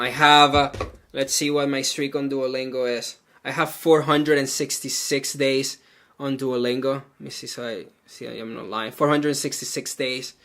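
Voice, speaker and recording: A man's voice: mid-range voice, pushed and bright. A man from Venezuela, a native Spanish speaker, chatting casually with viewers as he codes live, thinking aloud and filling his talk with "like" and "um". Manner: chatty and loose, full of "like" and "um", thinks aloud while coding. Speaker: live coding streamer.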